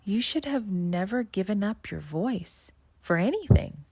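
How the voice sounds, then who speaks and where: An earnest, excitable young girl from a storybook, played by a woman reader. Her voice lifts higher, with an emphatic, wondering delivery and a dry, close sound. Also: a little slow, husky, dry recording. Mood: gentle.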